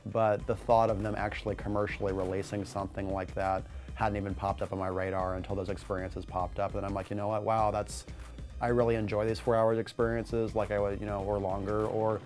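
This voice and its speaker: A man talking conversationally in an interview as a game writer, with an even delivery. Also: lowish voice, a little fast, faint music behind.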